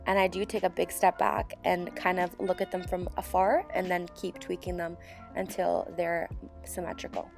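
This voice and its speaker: A young woman, hoarse and sick with a sore throat, calmly talking through a beauty tutorial as a voiceover. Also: medium pitch. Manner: calm step-by-step instruction. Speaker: beauty channel host. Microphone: voiceover mic.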